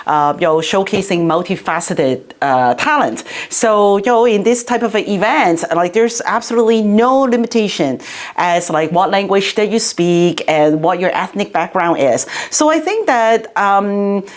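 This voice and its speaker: A woman's voice: medium pitch, expressive, normal speed. A middle-aged woman from Hong Kong, a city councillor, answering interview questions in a chatty way with many fillers and restarts.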